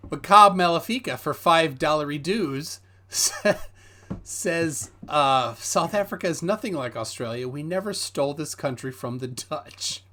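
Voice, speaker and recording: A man's voice: fairly high pitch, animated, unhurried, pushed and bright, a little hiss or hum. A man hosting a live stream, telling meandering stories full of fillers and reading viewer messages aloud. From United States. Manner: rambling storyteller, hesitant with many fillers, reads viewer messages. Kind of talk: casual chat.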